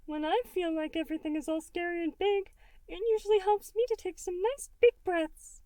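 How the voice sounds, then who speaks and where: A woman voicing a small, cheerful gelatinous creature from a cartoon, childlike and squeaky, speaking in an eager, reassuring way with drawn-out words. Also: fairly even intonation, warm tone, no echo. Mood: gentle, encouraging. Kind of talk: animated cartoon character in fan fiction reading.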